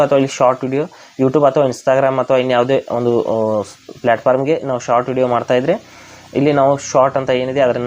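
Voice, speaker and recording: Indian guy walking thru an app tutorial step by step, talks fast, kinda dull lo-fi audio. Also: medium pitch, darkish tone. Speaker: tech tutorial host. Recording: dull, lo-fi voice recording.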